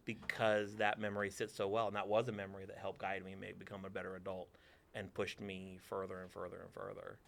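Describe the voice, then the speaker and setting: Man, forties, from texas, theater teacher, low voice, dry teasing humor, casual interview answers. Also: vocal fry, a bit bright.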